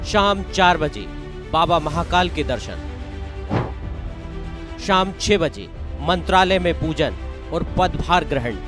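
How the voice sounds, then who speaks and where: A man reading scripted news narration in a formal, emphatic way. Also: on the high side. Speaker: news presenter. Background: background music.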